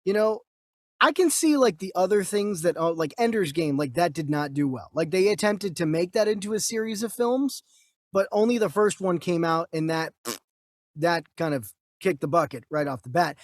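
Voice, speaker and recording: A man's voice: high voice, expressive, normal speed, no room sound. A man co-hosting a casual movie news discussion, giving opinions emphatically and repeating himself to drive points home. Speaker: movie news podcast co-host.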